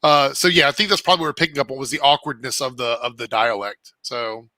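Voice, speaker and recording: Casual co-host, man, bright forceful tone, dry close sound, loose rambling delivery. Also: medium pitch, quick pace, no echo. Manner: rambling, many fillers like "like" and "um". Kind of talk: casual podcast chat.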